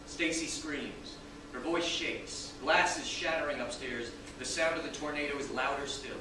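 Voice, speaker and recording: A man's voice: a little high, normal speed. A man reading scripted scene directions as narrator, recorded at a distance in a very echoey, noisy room.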